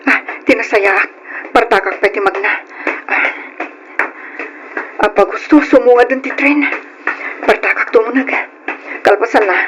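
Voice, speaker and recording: A woman's voice: fairly high pitch, measured pace, pauses often, noisy background, natural voice. A woman playing a caring, timid young Filipina working abroad in an old-style radio drama. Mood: nervous. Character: young Filipina migrant worker, caring older sister, timid. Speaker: narrator and lead of a letter drama.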